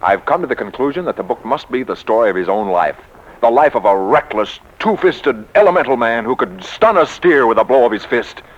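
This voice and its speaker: An actor playing an excitable, bombastic American publishing executive in a 1940s radio comedy, with theatrical, grandiose emphasis. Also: male voice, medium pitch, fairly quick, lightly acted. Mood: excited, rhapsodic. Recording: muffled 1940s radio recording.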